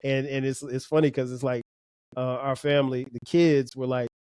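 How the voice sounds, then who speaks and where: A man hosts a podcast interview and asks long, loose questions in a friendly back-and-forth. His pitch sits in an ordinary middle range. The sound is dry and close, with no room echo. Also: steady tone, moderate pace, a little mellow, smooth. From Florence, South Carolina. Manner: easygoing, laughs often, rambling questions. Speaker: podcast host. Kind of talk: casual interview conversation.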